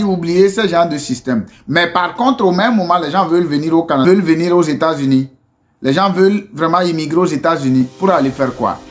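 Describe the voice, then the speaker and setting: A man from Togo with a Togolese French accent, giving a casual motivational talk to his community, hesitant with many fillers and restarts, then pressing with earnest rhetorical questions. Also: on the high side. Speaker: entrepreneur addressing his community.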